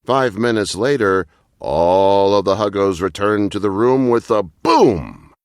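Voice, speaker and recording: A man narrating a children's bedtime story, reading aloud in an expressive, deliberate way. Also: fairly low pitch, smooth, no room sound, studio quality.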